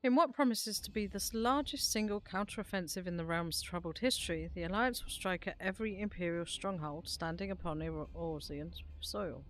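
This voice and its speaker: A woman voicing a stern military commander, reading fantasy game briefing dialogue in a formal, deliberate way. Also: fairly low pitch, a bit bright, a little hiss or hum, lightly acted. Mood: stern, instructive. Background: background music.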